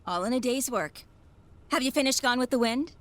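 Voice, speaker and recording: An actress playing a brisk, motherly visiting caregiver in a 1930s radio drama, reassuring and full of instructions. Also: high-pitched, lightly acted. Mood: warm, chatty.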